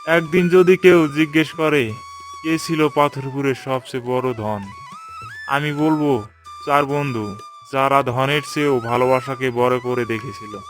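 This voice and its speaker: A man's voice: mid-range voice, wildly swooping pitch, measured pace, fairly bright tone, soft background music. A man voicing an eager, amazed young adventurer in a cartoon fairy tale, talking informally. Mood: proud.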